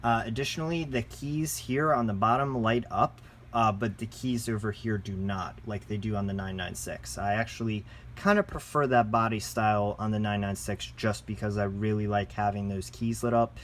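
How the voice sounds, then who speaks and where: A man's voice: on the low side, fairly even intonation, normal speed, slight background noise. A man giving a casual, unscripted product review as a shop presenter, in a conversational, enthusiast way.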